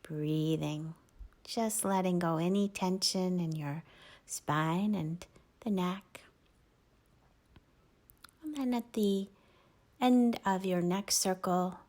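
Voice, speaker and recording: Woman yoga teacher, gentle near-whispered guidance, unhurried delivery. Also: on the low side. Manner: soft, gentle instructions, often near a whisper. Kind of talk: guided yoga class cues.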